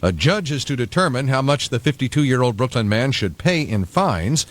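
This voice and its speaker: A man's voice: medium pitch, big pitch swings, normal speed. A man anchoring local news on the radio, reading from a script in a studio.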